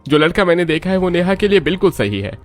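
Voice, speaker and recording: A man's voice: high-pitched, very animated, fast talker, faint music behind, pitched above the performer's own voice. Man putting on a pushy, scheming cartoon uncle. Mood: persuasive, indignant. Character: pushy, scheming middle-aged Indian uncle, insistent. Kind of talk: Hindi cartoon story acting.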